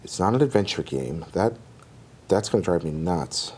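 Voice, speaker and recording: A man chatting casually as a podcast panelist, reasoning out loud through guesses in a group guessing game. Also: low-pitched, lively intonation, moderate pace, slight background noise. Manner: thinking aloud, deliberating.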